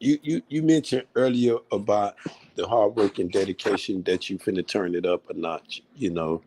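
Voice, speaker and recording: A man's voice: on the low side, moderate pace, dark tone, rough recording. A man with an American accent questioning a guest casually as a panelist, in emphatic, slangy, repeated phrases. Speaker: boxing show panelist.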